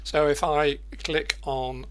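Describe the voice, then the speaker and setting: An older man presenting an informal screencast software tutorial, hesitant and thinking aloud as he demonstrates. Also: fairly high pitch, flat delivery, slow, clean tone. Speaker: presenter of editing macro tutorials.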